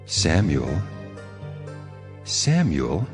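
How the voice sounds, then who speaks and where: Man voicing an extremely deep, stern God. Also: huge pitch swings, full character voice. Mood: calling. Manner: solemn, measured pronouncement. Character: voice of God, commanding, stern, unhurried judge. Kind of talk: dramatized scripture reading. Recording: music bed behind the voice.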